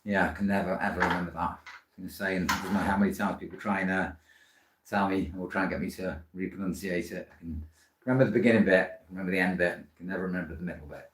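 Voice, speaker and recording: A man's voice: deep voice, fairly even intonation, fast, fairly bright tone, slight background noise. A Welsh-born man hosting a live woodturning stream from his workshop, talking in a chatty, rambling, self-deprecating way. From Wales. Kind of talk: live workshop demonstration chat. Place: woodworking workshop. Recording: echoey workshop, distant mic.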